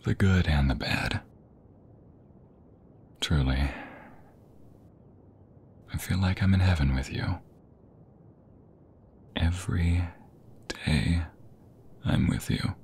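A man playing an affectionate, flirty husband in an intimate ASMR roleplay, with a breathy, husky, gravelly voice that often drops to a half-whisper. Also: low voice, takes it slowly, pauses often, a little mellow, a little hiss or hum. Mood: adoring.

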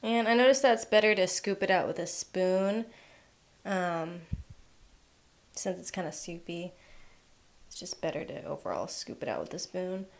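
A young woman hosting a home cooking channel, walking through a recipe in a relaxed way with lots of "um" and "like". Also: fairly low pitch, moderate pace, creaky, long pauses. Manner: relaxed, filler-heavy instructions with frequent "um" and "like". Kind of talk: casual recipe voice-over.

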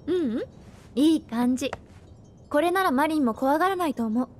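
A woman voice actor playing a gentle, earnest young fighter in a video game, expressive in delivery. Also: high voice, moderate pace. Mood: soft, tender. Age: young adult. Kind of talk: Japanese video game voice acting. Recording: music behind the voice.

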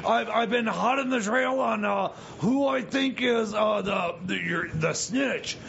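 A man's voice: high-pitched, measured pace, vocal fry, slight background noise, heavily acted. A man playing an evasive, flustered sidekick in improvised comedy radio theater, stammering and rambling as he dodges. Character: evasive, flustered sidekick visiting a jailed friend. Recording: dull lo-fi radio sound over loud music.

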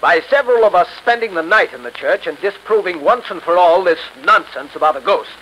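Self-assured committeeman, bright tense tone, 1930s radio drama, faint hiss. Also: sits high, expressive, fairly quick. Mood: resolute. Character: indignant, commanding, self-assured male church committeeman. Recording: slightly noisy 1930s radio recording.

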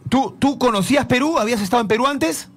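Male sports radio host, high voice, chatty and meandering. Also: fast talker. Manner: lively, rambling questions with many restarts. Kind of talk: live radio sports interview. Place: radio studio.

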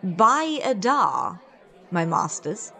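A woman's voice: medium pitch, big pitch swings, extremely slow, sharp tone, some chatter behind, full character voice. A woman playing a haughty, sardonic, impatient queen with a dry, imperious manner in a historical comedy audio drama. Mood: sceptical, mocking.

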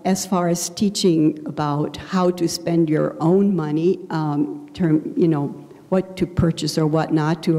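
Mellow older woman in a reverberant hall. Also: low voice. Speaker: church member being interviewed. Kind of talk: personal reflection in an interview. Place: indoor church sanctuary. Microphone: handheld microphone. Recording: reverberant hall, handheld microphone.

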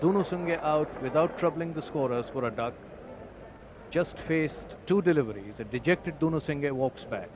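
A man giving ball-by-ball cricket commentary, lifting his voice sharply when wickets fall. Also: fairly high pitch. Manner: excited rises on wickets, measured otherwise. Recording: noisy old broadcast tape.

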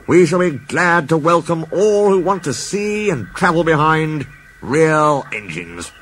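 A man voicing a kindly, authoritative older railway boss who speaks cheerfully and gravely by turns. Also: on the high side, expressive, measured pace, fairly bright tone, slight background noise, pitched above the performer's own voice.

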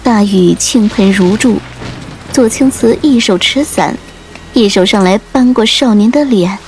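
Female audiobook narrator, slow pace, medium pitch, measured literary storytelling, faint music behind. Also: darkish tone. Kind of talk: dramatic scripted storytelling narration.

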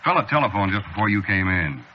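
Brief: folksy teasing barkeep, lively, colloquial; vintage radio broadcast, dull and hissy. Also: male voice, lowish voice, fast. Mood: sly, chatty. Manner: folksy, colloquial grammar, chuckling. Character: folksy, chatty male bartender, teasing. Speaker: bartender. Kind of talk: 1940s radio drama acting. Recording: lo-fi old radio broadcast, faint hiss.

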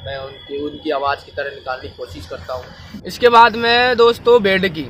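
A man's voice: fairly high pitch, steady tone, moderate pace, fairly bright tone. A man, explaining things casually as an interview guest. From rural North India. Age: 20s. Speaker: interview guest, animal sound mimic. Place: outdoors on an open roadside. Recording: noisy outdoor roadside with traffic.

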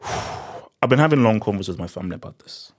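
A man in his thirties with a Nigerian accent, giving sarcastic, animated political commentary into a desk microphone in a small studio. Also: fairly low pitch, quick pace. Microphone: desk mic on boom arm.

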